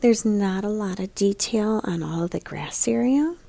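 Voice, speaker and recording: A woman with a soft-edged, mellow and breathy voice that often creaks at the ends of phrases. She speaks as an elementary art teacher, guiding young children through a picture book and prompting them to look closely. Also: mid-range voice, animated, normal speed, no echo. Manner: guiding, prompts listeners with questions.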